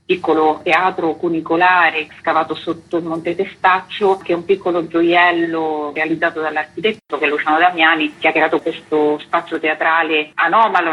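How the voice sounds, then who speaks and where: Woman festival director on radio, bright and husky, eager and informative, broadcast sound. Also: low-pitched, poor audio. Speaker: artistic director of a theater festival, guest. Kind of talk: radio interview.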